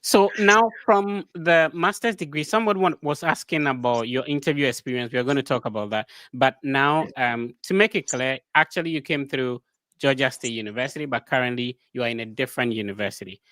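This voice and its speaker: A man hosting a casual interview over a video call, asking questions in quick runs and stumbling over words. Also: medium pitch, sharp tone, no room sound. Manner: chatty, stammers, asks questions in runs. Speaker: host, interviewer.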